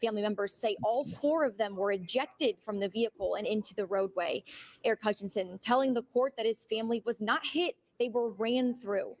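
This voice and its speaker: A woman's voice: mid-range voice, fairly quick. Female TV reporter with a mid-range voice. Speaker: TV news reporter. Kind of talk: scripted news report narration.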